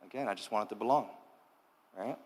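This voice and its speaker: A man's voice: fairly low pitch, a little fast, a little mellow, big-room sound. A man born in Cuba giving a casual personal testimony to a youth group, often checking in with "all right?". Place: church youth hall.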